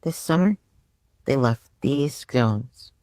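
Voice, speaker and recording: A woman voicing a gentle, wistful young woman in an audiobook drama, soft and expressive. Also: rumbling low, slow pace, no echo, full character voice.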